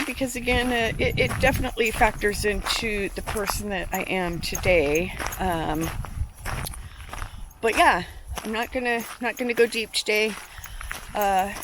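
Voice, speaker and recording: A woman in her fifties from Ohio, chatting casually to camera while walking her dogs on a nature trail, with many ums and restarts. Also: mid-range voice. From Ohio, USA. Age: 50s. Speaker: channel creator. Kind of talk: casual vlog talk to camera. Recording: outdoor recording with clear background noise.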